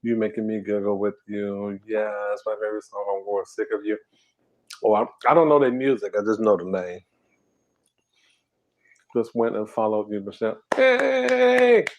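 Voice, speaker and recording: A man hosting a casual eating stream, talking softly and half-whispering in a relaxed, drowsy way while reading comments. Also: on the low side, normal speed. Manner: soft, quiet, half-whispered talk. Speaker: ASMR mukbang channel host.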